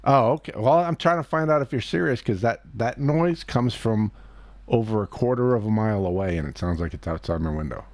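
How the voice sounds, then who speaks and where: A man's voice: on the low side, fairly quick, a little mellow. A man hosting a casual voice-chat discussion, pressing the others with questions and cutting in to get at the point. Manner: probing, interrupts to press for answers. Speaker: host. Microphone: computer mic in a voice chat.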